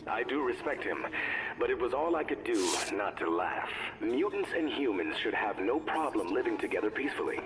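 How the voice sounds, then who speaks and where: An actor playing a mild, idealistic male scientist dictating a lab log for a video game in a measured, thoughtful, formal way. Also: mid-range voice, very animated, noisy background, lightly acted. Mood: reflective, mildly amused.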